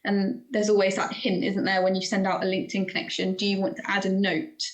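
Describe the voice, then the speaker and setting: A woman's voice: medium pitch, completely flat delivery, fairly quick. A woman co-hosting a podcast, posing a run of questions in casual chat. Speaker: podcast co-host.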